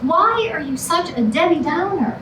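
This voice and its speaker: A woman's voice: very high voice, lively intonation, moderate pace. A woman playing a sarcastic, fed-up girlfriend, dry and cutting, in a staged musical comedy reading. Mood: angry, exasperated. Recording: echoey room, distant mic, music behind.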